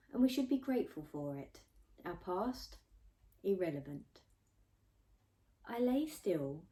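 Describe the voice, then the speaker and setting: A woman author reading her own book aloud as expressive audiobook narration. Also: fairly low pitch.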